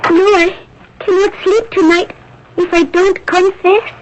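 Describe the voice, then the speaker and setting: High-voiced anguished young resistance woman. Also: little pitch movement, measured pace, lo-fi sound. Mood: distraught confessing. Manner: earnest, dramatic, emotionally strained. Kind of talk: radio drama acting. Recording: noisy old radio broadcast recording.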